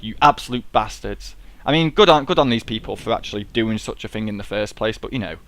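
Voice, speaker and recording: A man's voice: fairly low pitch, fairly quick, a bit bright. A man with a cold, casually rambling and swearing as he plays and talks through a space game at his computer. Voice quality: swears freely, rambling and chatty. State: sniffly with a cold. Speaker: gameplay commentator. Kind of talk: casual let's-play commentary.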